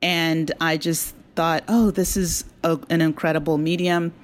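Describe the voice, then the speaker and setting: A woman talking casually as an interview guest, chatty and appreciative, quick to laugh. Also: low-pitched, level tone. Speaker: interview guest, audio drama creator.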